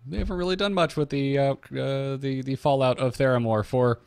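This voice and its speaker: A man's voice: mid-range voice, fairly even intonation, fairly quick, creaky, a little mellow, smooth, dry recording. A man co-hosting a podcast, discussing game lore in an informal, joking way. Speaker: podcast co-host.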